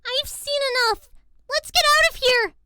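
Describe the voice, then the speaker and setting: A woman's voice: very high voice, normal speed, pushed and bright, a little hiss or hum, heavily acted. A woman voicing a small, plucky but jumpy cartoon filly pony in an audio drama. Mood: scared. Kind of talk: cartoon fan fiction audio drama acting.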